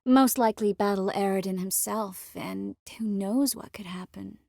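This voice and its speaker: An actress playing a young sorceress in a video game, warm and clever, with a wry, quietly determined manner. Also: female voice, medium pitch, normal speed, creaky, no echo, studio quality. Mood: earnest, confiding. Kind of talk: video game character dialogue.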